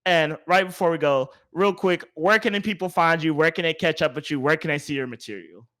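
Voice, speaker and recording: Higher-voiced man hosting, playful and slangy. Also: fairly quick, a bit bright. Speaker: podcast host. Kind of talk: casual chat.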